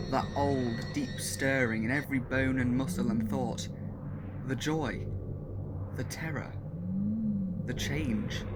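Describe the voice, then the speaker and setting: A man narrates with a slow, deliberate delivery, building to intense swells at the climactic moments. His voice sits at an ordinary middle pitch. A loud wash of ambient noise runs beneath him throughout. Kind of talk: dramatic audiobook narration.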